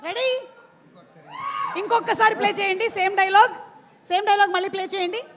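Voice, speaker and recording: A woman emcee works a film event in a playful, prompting way. Her voice is very high and she talks very fast, carried over a PA with long hall reverb. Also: steady tone, a bit bright. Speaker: event host. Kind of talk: stage hosting at a film event. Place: large event venue, amplified stage.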